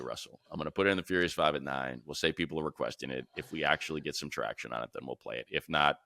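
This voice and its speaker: Casting: male sports radio co-host, low creaky voice, casual storyteller who acts out both sides of conversations. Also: bright, forceful tone, no room sound. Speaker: sports talk show co-host; radio play-by-play announcer.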